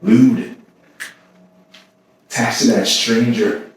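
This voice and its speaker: An actor playing an anguished man haunted by obsessive love, in an intense, halting theatrical monologue. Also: mid-range voice, little pitch movement. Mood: haunted, hesitant. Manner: intense, halting, with repetitions and sudden swells. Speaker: stage actor. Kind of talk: theatrical staged reading, dramatic monologue. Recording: echoey room from far off, faint noise.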